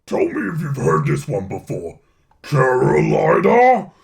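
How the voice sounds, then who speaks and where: Gravelly symbiote creature for storytelling: menacing, sardonic ally, slightly off-mic. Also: male voice, a little high, huge pitch swings, unhurried, distant mic, voice pushed up, exaggerated voice. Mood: taunting. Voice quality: gravelly, growling.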